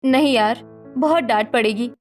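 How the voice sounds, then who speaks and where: A young college girl in a cartoon family drama, played by a woman. Her voice is very high and plaintive. She talks earnestly as a stifled daughter, with music playing underneath. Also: normal speed, natural voice. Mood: reluctant. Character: young college girls, stifled, timid yet resentful daughters.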